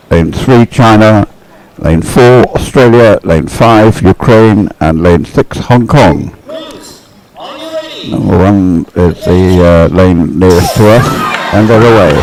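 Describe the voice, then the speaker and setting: A man's voice: on the low side, slow, darkish tone, poor audio. A man giving live race commentary, explaining things in a hesitant, unscripted way. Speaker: sports commentator.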